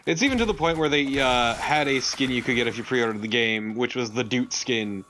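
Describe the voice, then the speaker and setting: A man's voice: mid-range voice, a little fast, fairly bright tone. A man chatting casually as co-commentator on a video game playthrough. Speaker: let's play co-commentator. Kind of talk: casual chat. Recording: clear noise behind voice.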